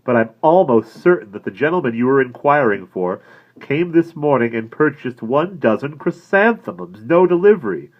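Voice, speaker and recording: A man voicing a sleek, condescending male florist who talks smoothly and ingratiatingly and turns shrill when excited. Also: moderate pace. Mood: smarmy. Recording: dull lo-fi recording.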